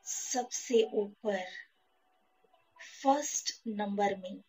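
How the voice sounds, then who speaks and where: A woman leads a guided meditation at a very unhurried pace. She speaks in short, measured phrases, leaving pauses between them. Soft music plays behind her mid-pitched voice. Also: poor audio. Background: background music.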